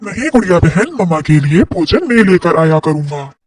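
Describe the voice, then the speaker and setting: A man's voice: sits high, big pitch swings, normal speed, dry recording, distant mic. A man voicing an innocent, eager young boy sparrow in a children's cartoon, with a childlike, pleading delivery.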